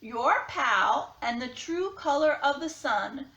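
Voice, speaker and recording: A woman voicing a boastful, bickering yellow crayon in a storybook read-aloud. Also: high voice, lively intonation, takes it slowly, echoey room, lo-fi sound, full character voice. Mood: indignant, boastful. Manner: boastful, insistent. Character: proud, bickering yellow crayon writing a complaint letter.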